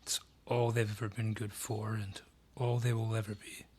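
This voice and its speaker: A man playing a haughty, sly dryad who rules a forest, taunting and condescending in a breathy, husky voice, in a fantasy audio roleplay. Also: on the low side, level tone, normal speed, a little mellow. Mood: grave, scornful. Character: haughty, sly male dryad ruling a forest, menacing and mocking.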